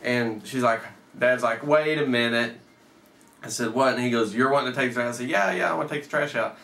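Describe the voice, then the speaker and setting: A man's voice: medium pitch, fairly quick, gravelly, a bit bright. A man in his thirties telling a personal story casually, with swearing and acted-out bits of dialogue. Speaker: storyteller, host. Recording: roomy echo, off the mic.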